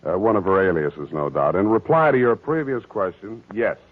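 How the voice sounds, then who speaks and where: An actor playing a wry, hardboiled private detective with a sardonic, mock-formal manner in 1940s radio style. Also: male voice, fairly low pitch, moderate pace, lightly acted. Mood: wry, pompous. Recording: vintage 1940s radio broadcast, narrow band.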